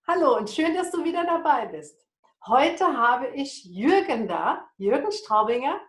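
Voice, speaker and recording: Slow-paced woman podcast host, higher voice, roomy. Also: sing-song. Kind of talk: scripted intro and conversational interviewing.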